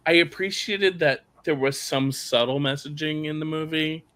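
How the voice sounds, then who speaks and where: A middle-aged man, a guest on a live review, speaking in a measured, reflective way. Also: on the high side, pushed and bright. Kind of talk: casual chat.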